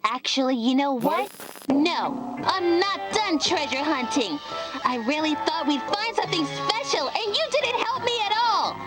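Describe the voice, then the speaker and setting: High, bossy little cartoon girl. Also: big pitch swings, unhurried, over loud music, low-quality recording. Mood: petulant, threatening. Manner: demanding, exaggerated childlike delivery. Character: bossy, petulant little girl cartoon host turning menacing. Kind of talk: video game cartoon character acting.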